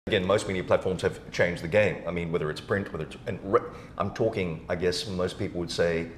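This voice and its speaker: A man hosting a podcast, asking winding, hesitant questions in a conversational style with repeated words, recorded in a roomy space. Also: fairly quick. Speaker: podcast host. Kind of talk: conversational interviewing. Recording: roomy echo, noticeable rumbling background noise.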